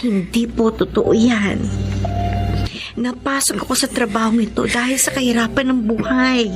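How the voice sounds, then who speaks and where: Actress as high-voiced, kindly young mother. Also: fairly even intonation, normal speed, music in the background, lightly acted. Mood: earnest, grateful. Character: kind, grateful young working single mother, world-weary. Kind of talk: Filipino radio drama acting.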